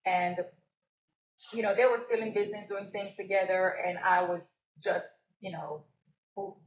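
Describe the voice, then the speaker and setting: A woman's voice: mid-range voice, steady tone, moderate pace, roomy sound, poor audio. A young woman from Jamaica, a business co-owner and guest on a live podcast, telling her story and laughing often. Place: stage at a live event.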